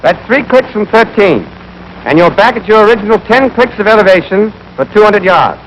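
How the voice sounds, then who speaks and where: A man's voice: high-pitched, lively intonation, moderate pace, highs cut off. A young American man giving scripted, clipped, step-by-step marksmanship instruction as an army instructor in a 1940s training film. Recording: noisy 1940s film soundtrack.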